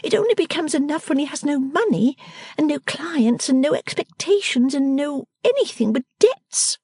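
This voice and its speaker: A woman's voice: sits high, sing-song, normal speed, warm tone, dry recording, clean studio sound, pitched above the performer's own voice. A woman reader voicing a playful, teasing young woman who talks in an emphatic, theatrical way.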